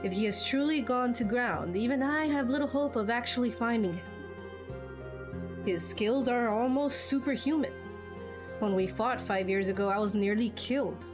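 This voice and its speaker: A woman voicing a grave, measured father and veteran fighter in game cutscenes, explaining things seriously. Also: fairly high pitch, natural voice. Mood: solemn. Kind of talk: amateur game cutscene voice acting. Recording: music behind the voice.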